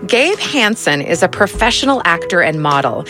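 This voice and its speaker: A woman hosting a podcast, narrating a scripted story in a measured, earnest way. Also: on the low side, very animated, over music.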